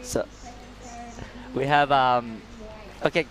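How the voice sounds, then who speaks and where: A man co-commentating a surf contest live, speaking relaxed, halting English with fillers. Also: mid-range voice, rough recording. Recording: outdoor ambient noise, passing vehicles.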